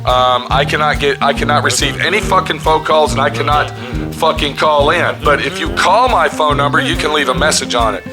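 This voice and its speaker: A man in his fifties with a raspy voice, ranting and swearing nonstop as he shows work in his auto body garage. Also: on the high side, fairly quick, over music. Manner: heavy swearing, pissed-off ranting. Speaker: custom auto body shop owner. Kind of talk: angry workshop vlog. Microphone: camera held close at arm's length.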